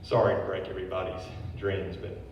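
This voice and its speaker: A man's voice: deep voice, completely flat delivery, fairly quick, a little mellow. A man, a brewery owner, giving a public history talk, reading from notes with casual asides. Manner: informal asides between read passages. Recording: very echoey hall, distant mic, faint noise.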